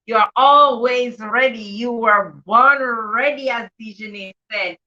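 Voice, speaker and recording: Woman, high bright voice, encouraging host, casual live call chat, muffled distant audio. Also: takes it slowly. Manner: encouraging, praising. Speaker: host of children's online read-aloud session.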